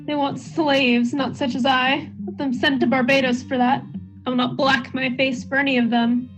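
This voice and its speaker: A woman's voice: sits high, level tone, normal speed, close to an everyday voice. A woman acting a defiant, evasive teenage Puritan niece in a radio play, guarded and insistent. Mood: indignant. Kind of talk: period stage drama acting, radio play. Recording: dull lo-fi sound, plucked guitar behind.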